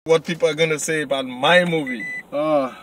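Excited Nigerian man vlogging from his car. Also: on the high side, normal speed, a little hiss or hum. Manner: excited, chatty. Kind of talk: casual vlog. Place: car at night, then city street. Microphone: handheld camera at arm's length.

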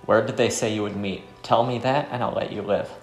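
A man's voice: fairly low pitch, steady tone, a little fast, a little mellow, natural voice. A man reading aloud the lines of a stern, self-assured swordsman in a visual novel. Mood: cool threat. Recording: roomy echo, faint music behind.

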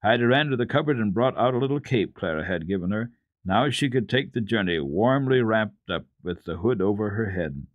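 A man narrating a children's book as a radio storyteller, in a dramatic, expressive and deliberate style. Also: lowish voice, no room sound, narrow, tinny audio.

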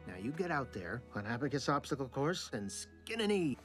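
A patient, middle-aged suburban dad acted by a man in an animated film. He coaxes with mild exasperation and a lively pitch, with clear music underneath. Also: moderate pace, natural voice. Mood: coaxing.

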